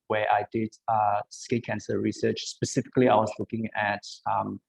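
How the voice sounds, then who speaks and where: Halting man with a gravelly low voice. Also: level tone, poor audio. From Malaysia. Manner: hesitant, many ums and uhs. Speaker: biomedical engineer, panel speaker. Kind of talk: online career talk with slides.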